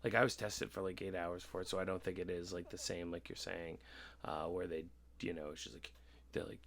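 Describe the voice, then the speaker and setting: A man in his thirties in casual podcast conversation, talking candidly in a halting, rambling way. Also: deep voice, flat delivery, vocal fry, a bit bright. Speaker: podcast host. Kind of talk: casual podcast chat about personal experience.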